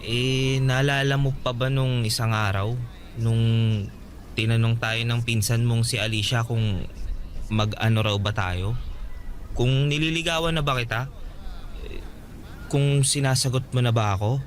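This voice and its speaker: A man's voice: medium pitch, steady tone, a little slow, pauses often, a little hiss or hum, close to an everyday voice. A man with a Filipino accent playing a friendly, smitten young suitor in a radio drama. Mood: hesitant. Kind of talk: Tagalog radio drama acting.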